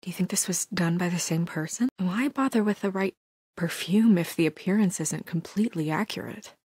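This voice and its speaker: A woman acting in a video game as a composed, weary and stern administrator who speaks in a crisp, businesslike, quietly troubled way. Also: lowish voice, brisk, dry recording. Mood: hushed, puzzled.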